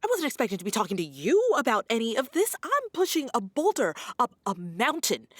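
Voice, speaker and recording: A woman's voice: on the high side, huge pitch swings, brisk, dry recording, studio quality. A woman playing an overworked, wary radio station worker in a comedy audio drama, hesitant and understated. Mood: flustered, weary.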